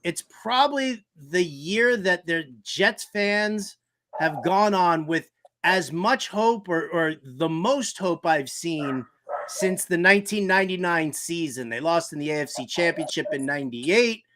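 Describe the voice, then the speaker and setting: A man's voice: fairly high pitch, unhurried, crowd noise. A middle-aged man hosting a casual sports talk show, emphatic and repeating phrases for effect. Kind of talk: casual radio chat.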